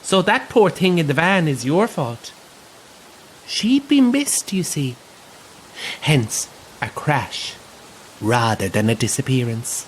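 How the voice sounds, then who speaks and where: Possessed Irish coach guide, gleefully sinister, slow and swooping delivery, audio drama over vehicle noise. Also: male voice, on the high side, big pitch swings, low-quality recording. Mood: mocking. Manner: theatrical, taunting.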